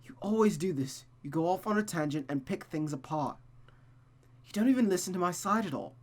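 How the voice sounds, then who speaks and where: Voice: mid-range voice, expressive, brisk, pitched above the performer's own voice, natural voice. A performer voicing an exasperated, weary man arguing in a visual novel. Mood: irritated.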